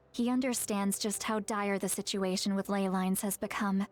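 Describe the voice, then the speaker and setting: A woman playing an earnest, weary young woman in a video game, speaking softly and hesitantly. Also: mid-range voice. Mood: worried, sombre.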